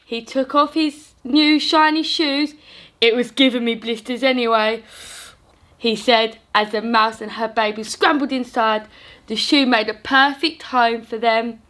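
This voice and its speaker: A woman reading a children's story aloud in an animated, sing-song way for young listeners. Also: on the high side, a little slow.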